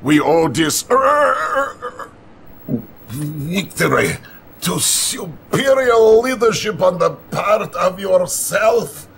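An actor playing a pompous, middle-aged Soviet Premier in a game cutscene, theatrical and halting. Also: male voice, sits high, big pitch swings, gravelly, fairly bright tone, slight background noise, full character voice. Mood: pompous, jovial. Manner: theatrical, halting, drawn-out delivery. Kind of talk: campy game cutscene acting.

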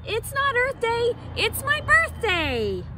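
Lady doing a squeaky show-off monkey kid from a picture book, way up high, noisy recording. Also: huge pitch swings, slow, heavily acted. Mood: boastful. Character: silly boastful young male monkey.

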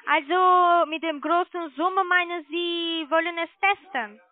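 Woman, high pitch, non-native speaker, hesitant sales talk, muffled telephone sound. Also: fairly even intonation. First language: not German. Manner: hesitant, halting. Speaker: call centre sales agent. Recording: muffled narrowband phone line.

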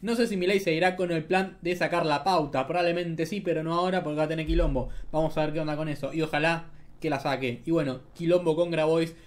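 A man's voice: fairly high pitch, fairly even intonation, fast talker. A young man with an Argentine accent giving casual, sarcastic political commentary, often ending remarks with tag questions. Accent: Argentine Spanish.

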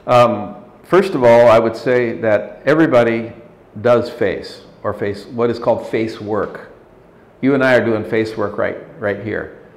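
An older man in his sixties speaks as an interview guest, slow to find his words and often restarting. His voice sits a little low, and the office room around him sounds roomy. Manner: measured, thoughtful, frequent restarts and hesitations. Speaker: interview guest, leadership consultant. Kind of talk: reflective interview talk. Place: office meeting room at a table.

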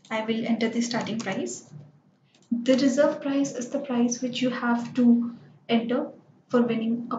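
A woman narrating a screen-recorded software tutorial, explaining each step plainly with lots of "so" and "like". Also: on the high side, slight background noise. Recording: dull, roomy screencast voice-over.

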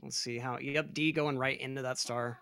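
A man of middle pitch talks through a video game race, breaking down techniques with a joking edge. His voice is bright and forward, with faint music in the background. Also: steady tone, normal speed, creaky. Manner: chatty, joking, analytical. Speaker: speedrun commentator. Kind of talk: casual race commentary.

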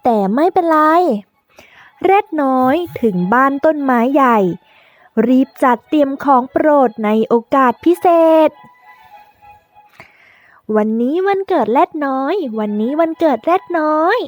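A woman narrating a scripted children's storybook in a drawn-out, deliberate way for young learners, in the manner of a teaching tale. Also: high-pitched, expressive, soft background music.

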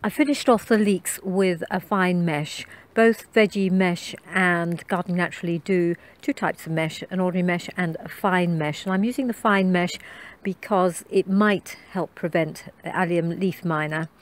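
A woman's voice: on the low side, steady tone, moderate pace. A middle-aged woman chatting casually about her garden while filming outdoors on a phone, with frequent ums. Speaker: gardening vlogger. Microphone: handheld phone camera.

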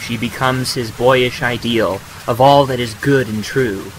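A man playing an earnest, gallant, courteous young suitor in a period romantic audio drama, gentle and a little hesitant. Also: medium pitch, steady tone, a little slow, a little hiss or hum, natural voice, rough recording. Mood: earnest, tender. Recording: clear rain and ambience behind. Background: rain.

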